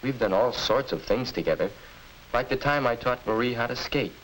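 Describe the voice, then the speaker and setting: A young man playing a puzzled, halting high-school boy in a 1950s instructional film. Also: fairly bright tone, natural voice, rough recording. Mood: musing. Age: young adult. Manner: hesitant, musing, halting. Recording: hissy 1950s educational film soundtrack.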